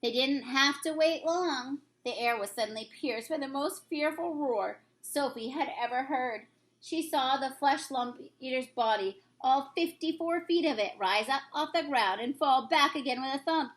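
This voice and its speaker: A woman reading a children's story aloud in expressive narration. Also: high-pitched, normal speed, poor audio. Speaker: reader, teacher.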